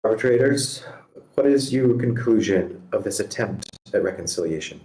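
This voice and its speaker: Guy reading a stern patient mediator, low mellow voice, measured firm questions, roomy echo. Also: expressive, close to an everyday voice. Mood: probing. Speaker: amateur actor reading a part. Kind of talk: screenplay table read, dramatic dialogue. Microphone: online meeting call. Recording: roomy, echoing home microphone.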